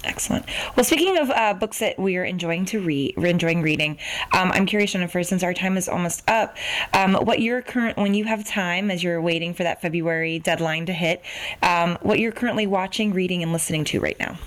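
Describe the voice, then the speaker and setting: A woman's voice: on the low side, fairly quick, rough recording. A woman hosting a podcast, interviewing an author in a friendly, appreciative, casual way.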